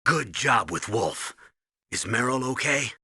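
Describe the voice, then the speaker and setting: A man playing a gruff, urgent military commanding officer briefing his agent in a video game, with a commanding, instructive delivery. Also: mid-range voice, lively intonation, unhurried, bright, forceful tone, no echo, studio quality, full character voice. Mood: commanding, steady.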